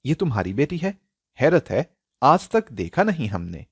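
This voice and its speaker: A man's voice: mid-range voice, big pitch swings, moderate pace, slightly rough, no room sound, clean studio sound. A man voicing a curious, surprised woman guest in an audio story, with an inquisitive, friendly manner.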